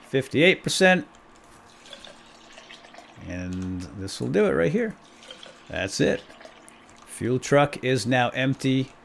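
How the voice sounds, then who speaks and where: A man's voice: fairly low pitch, gravelly, a bit bright, slight background noise. A man giving casual let's-play commentary, playfully talking to himself with mild folksy exclamations. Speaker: gaming YouTuber, let's-play host.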